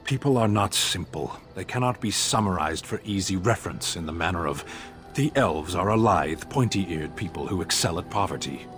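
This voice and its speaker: An actor playing a blunt, dutiful warrior who speaks in a flat, terse, literal way, with game music behind him. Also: male voice, low voice, moderate pace. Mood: stern. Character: stern giant male warrior, blunt and dutiful.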